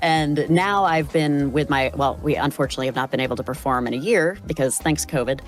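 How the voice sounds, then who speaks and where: A woman with an American accent chatting informally as a voice actor being interviewed, self-effacing and animated as she tells stories. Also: low-pitched, brisk. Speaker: voice actor guest. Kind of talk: casual interview chat. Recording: music behind the voice. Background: music.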